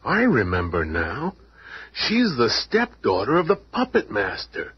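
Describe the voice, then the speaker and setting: An actor playing a gruff, big-hearted, streetwise monster hero in a radio drama, blunt and emotional. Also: male voice, medium pitch, huge pitch swings, unhurried, no echo, heavily acted. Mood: tender, rueful. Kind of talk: 1970s radio drama acting. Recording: dull, noisy old radio recording.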